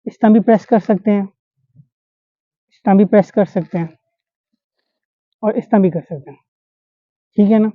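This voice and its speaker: A man's voice: high-pitched, normal speed, pauses often, no room sound. A man instructing a class, demonstrating pressure points on a volunteer and checking that the students follow. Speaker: acupressure instructor. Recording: dull lo-fi sound.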